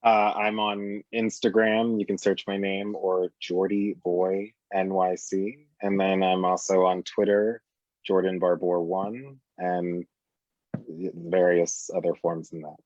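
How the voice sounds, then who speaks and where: A man speaks casually on a video call at a slow, easy pace. His voice is fairly low, with a dry, slightly muffled sound. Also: flat delivery, a little mellow, dry recording. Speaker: actor on a discussion panel.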